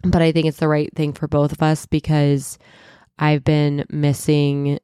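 A woman in her thirties hosting a casual solo podcast, chatty and full of "like"s, a little stuffy and raspy from a cold. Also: deep voice, little pitch movement, a little slow, dark tone, no echo, clean studio sound. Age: 30s. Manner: chatty, many "like"s and self-corrections. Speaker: podcast host and wellness coach.

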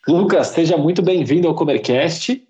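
A man's voice: a little high, moderate pace, dull audio, loud music behind the voice. A man hosting a corporate podcast, reading a formal introduction and welcoming his guest. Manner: formal and welcoming, scripted lead-ins. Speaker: podcast host.

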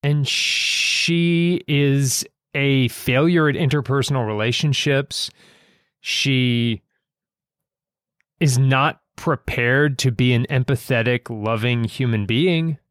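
Male podcast host and writer, unhurried and thoughtful, dry close sound, halting reflective delivery. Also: mid-range voice, a bit bright, dry recording. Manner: thoughtful, halting, pausing mid-sentence. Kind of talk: reflective conversation about a story.

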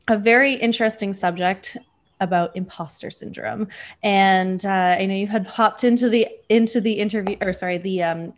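Upbeat woman podcast host. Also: mid-range voice. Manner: upbeat, repeats words like "really". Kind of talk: casual podcast hosting.

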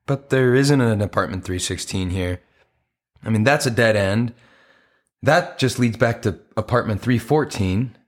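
A man's voice: on the low side, measured pace, lightly acted. An actor playing an earnest, apologetic romantic man in an audio play, with a hesitant, conversational delivery.

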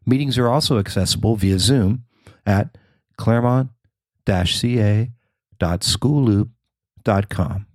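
A man's voice: on the low side, unhurried, long pauses, darkish tone, no room sound, clean studio sound. A man reading scripted news narration as a podcast host and reporter.

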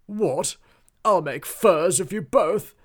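A man voicing a pompous male duke who shouts in rage at his fleeing army. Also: high voice, lively intonation, slow, pitched above the performer's own voice, exaggerated voice. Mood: furious. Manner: shouting, blustering.

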